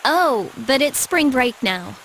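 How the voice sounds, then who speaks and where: A hesitant, perky schoolgirl from a dubbed anime game. Her voice is high and swoops as she talks slowly. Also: animated, fairly bright tone, a little hiss or hum, exaggerated voice, rough recording. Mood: confused, hesitant. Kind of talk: English anime game dub.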